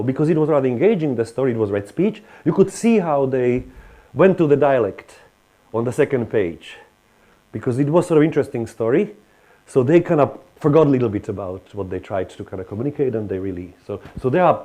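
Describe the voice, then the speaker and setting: A man's voice: medium pitch, animated, fairly quick, warm tone. A man with a Slovak accent gives a university lecture, talking in a hesitant way with frequent restarts and fillers. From Western Slovakia.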